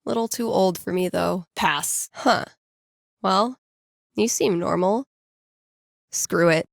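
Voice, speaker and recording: A woman's voice: on the low side, slow pace, gravelly, dry recording, clean studio sound. An actress playing a laid-back, geeky young American woman in a video game, speaking in a casual, wry, playful way. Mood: wry, self-talking. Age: young adult. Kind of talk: naturalistic video game character dialogue.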